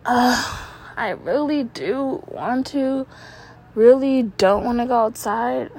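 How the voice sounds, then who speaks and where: A woman's voice: a little high, takes it slowly, a little mellow. Stuffy-nosed young woman rambling at home. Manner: rambling, trips over words, swears casually. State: stuffy nose. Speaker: podcast host. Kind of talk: casual rambling monologue.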